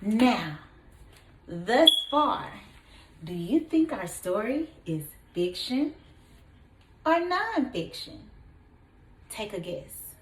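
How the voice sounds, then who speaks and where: A woman teacher reading a story aloud to young children in an expressive, deliberate way, prompting them with questions. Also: a little high, a little hiss or hum. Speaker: kindergarten teacher. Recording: echoey room.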